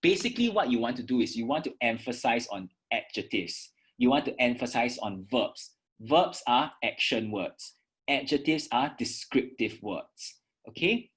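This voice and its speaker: A Singaporean teacher giving a reading-aloud lesson, enunciating words deliberately and emphatically and often checking in with the listener. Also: medium pitch. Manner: instructive, often checking with "okay" and "right". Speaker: English oral exam teacher. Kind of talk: instructional lesson with read-aloud demonstrations.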